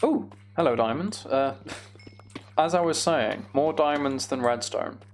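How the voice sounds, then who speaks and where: A British man with a noticeably creaky, mid-pitched voice streams a video game. He talks casually and wryly, often muttering to himself as he plays. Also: expressive, unhurried, a little hiss or hum. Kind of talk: casual Minecraft playthrough commentary, chatting with viewers.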